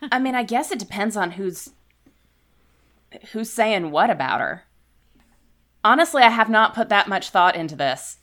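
Animated young woman rambling in character. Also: medium pitch, a little slow, pauses often. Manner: rambling, many "I mean" restarts; giggly and animated. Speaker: player. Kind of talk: answering in character in a tabletop game.

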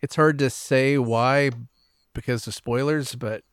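A man's voice: medium pitch, fairly even intonation, unhurried, smooth, dry recording, clean studio sound. A man talking casually as a panelist in a podcast discussion.

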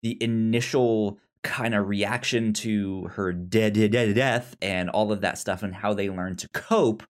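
Slow, halting podcast co-host in casual chat. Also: medium pitch, fairly even intonation. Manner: halting, repeats and stammers on words. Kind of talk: casual film discussion.

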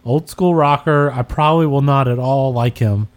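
A man's voice: mid-range voice, moderate pace. An American man chatting casually on a podcast, giving opinions in an informal, emphatic, slangy way. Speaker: podcast co-host.

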